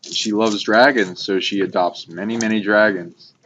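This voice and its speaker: A man with a lowish voice co-hosts a casual spiritual talk. He is informal and enthusiastic, and his sentences wander. The sound is muffled, with background noise. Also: steady tone, normal speed. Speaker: talk show co-host. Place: informal recording by a fire.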